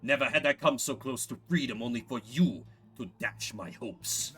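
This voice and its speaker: A man's voice: medium pitch, normal speed, pushed and bright, husky, soft background music. A man voicing a bitter, pompous rival with a grudge, reading a video game villain's lines. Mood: bitter, sneering. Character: bitter, vengeful male rival in a gold robe.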